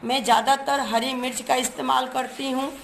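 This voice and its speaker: Hoarse high-voiced woman cooking at home. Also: flat delivery, moderate pace, noisy background. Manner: chatty, explains each step while showing ingredients. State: hoarse from a cold. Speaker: cooking channel host.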